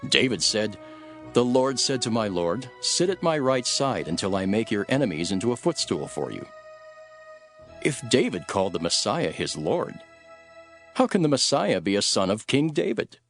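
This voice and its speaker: A man playing an authoritative teacher in a Bible audio drama, speaking in a firm, emphatic, measured way. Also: fairly low pitch, very animated, fairly bright tone, soft background music. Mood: earnest teaching.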